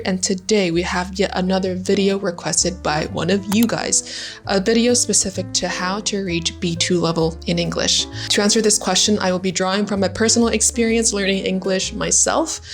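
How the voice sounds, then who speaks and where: A young woman whose first language is Vietnamese, explaining language learning as an English tutor. She talks in a friendly, chatty way at a middle pitch, with loose fillers here and there. Soft music plays faintly behind her. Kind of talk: casual YouTube lesson talk.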